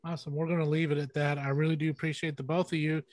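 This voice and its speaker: A man's voice: fairly high pitch, little pitch movement, rapid-fire, no echo. A middle-aged man hosting a conversational interview over a video call. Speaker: interview host. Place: indoor room, video call. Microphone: headphones on video call.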